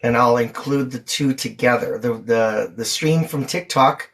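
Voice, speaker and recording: A woman's voice: a bass voice, a little slow. A woman hosting a livestream, talking in a casual, informal chat style. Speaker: livestream host and parent.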